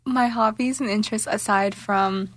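A woman's voice: mid-range voice, steady tone, fairly bright tone, no room sound, clean studio sound. A young woman university student, a native Hindi speaker, answering interview questions in a cheerful, playful way. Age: young adult. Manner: cheerful, playful, quick replies. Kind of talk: casual interview chat.

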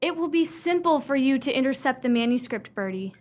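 A woman's voice: high-pitched, lively intonation, a little fast. A woman playing a prim, imperious young upper-class fiancée in a radio play, speaking sternly with precise, formal diction. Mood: commanding.

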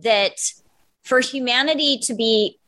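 A woman panelist chatting casually about a TV show, animated and slangy in a conversational way. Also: on the high side, measured pace. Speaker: podcast panelist. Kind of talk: casual fan discussion of a TV show.

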